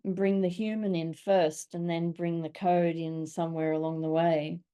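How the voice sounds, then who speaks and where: A woman's voice: lowish voice, little pitch movement, normal speed, warm tone, clear, resonant tone. A woman facilitating a group discussion, asking probing questions and giving brief affirmations.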